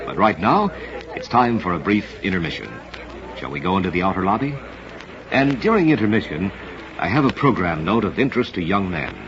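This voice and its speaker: A man's voice: deep voice, big pitch swings, fairly quick, crowd noise. An American man announcing in a scripted old-time radio style, with formal, theatrical delivery. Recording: dull, lo-fi vintage radio recording.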